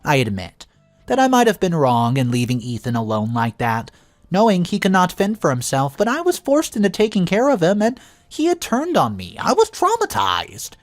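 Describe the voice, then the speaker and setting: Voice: medium pitch, huge pitch swings, normal speed, no echo. A narrator reading a scripted first-person story in a dramatic storytelling manner. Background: music.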